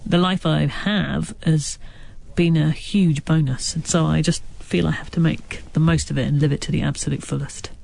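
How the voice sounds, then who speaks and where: A woman's voice: deep voice, steady tone, normal speed, vocal fry, darkish tone. A woman from Wales with a stammer, an author answering interview questions in a thoughtful way, searching for her words. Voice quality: has a stammer. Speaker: author guest.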